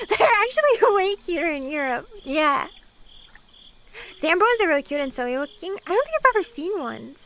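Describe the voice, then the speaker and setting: A woman from eastern Washington, streaming as a VTuber and chatting casually with viewers, bubbly and quick to giggle. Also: very high-pitched, bright, forceful tone. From eastern Washington, USA. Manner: bubbly and giggly, gasps and laughs often. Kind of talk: casual chat with viewers, reading comments.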